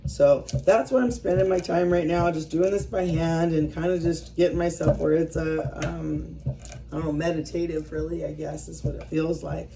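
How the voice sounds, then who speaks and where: A woman's voice: fairly low pitch, dark tone, far from the mic. A woman in her fifties chatting casually to her viewers as she works, rambling and self-deprecating, often trailing off mid-thought. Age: 50s. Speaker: vlogger. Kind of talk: casual chat while working by hand.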